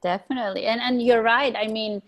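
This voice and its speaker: A woman's voice: on the high side, steady tone, brisk. A woman hosting an interview podcast, welcoming her guest and asking questions in an enthusiastic, encouraging way. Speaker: podcast host.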